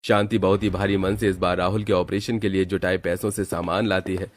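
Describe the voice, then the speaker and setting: A man's voice: low-pitched, flat delivery, fairly quick. A man narrating a scripted moral cartoon story as its storyteller. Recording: music bed behind the voice.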